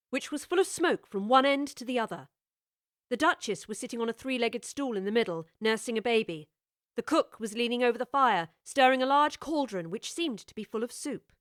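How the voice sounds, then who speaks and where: A woman narrating a storybook with clear, expressive scripted storytelling. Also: fairly high pitch, no echo, clean studio sound.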